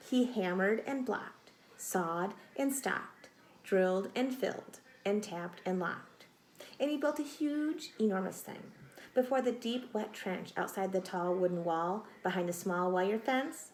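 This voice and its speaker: A woman in her thirties or forties reads a children's storybook expressively, with deliberate dramatic emphasis, speaking directly to young listeners. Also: mid-range voice, lively intonation. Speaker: teacher reading a picture book aloud to children. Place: small indoor room. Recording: roomy echo, faint noise.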